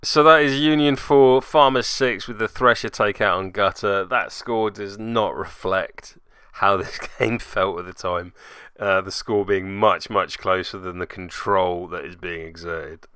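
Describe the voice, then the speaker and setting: Wry man with a bright, crisp voice. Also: deep voice, measured pace. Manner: wry, self-deprecating. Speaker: host narrating a tabletop game battle report. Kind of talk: casual recorded commentary.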